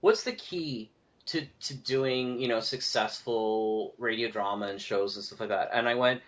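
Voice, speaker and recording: A man's voice: mid-range voice, measured pace. A man with a mid-range voice talks as a podcast guest in loose, conversational style. He is emphatic, often repeating a key word to drive it home.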